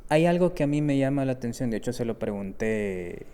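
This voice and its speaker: A man's voice: mid-range voice, animated, brisk, dark tone, clear, resonant tone. A man hosting a conversational podcast interview and putting questions to his guest. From El Salvador. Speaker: podcast host. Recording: echoey hall-like reverb.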